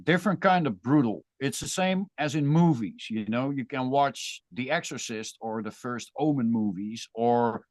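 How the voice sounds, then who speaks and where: Dutch-accented male guest, casual and reflective, mid pitch, dry recording. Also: moderate pace, hardly any pauses, a little mellow, no room sound. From Netherlands. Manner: thoughtful, rambling with restarts. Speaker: guest musician. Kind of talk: casual interview chat.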